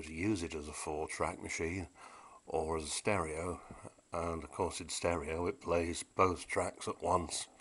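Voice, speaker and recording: A man's voice: sits low, a bit bright. A British man giving a casual, unscripted demonstration of vintage equipment in a chatty, wry, understated way.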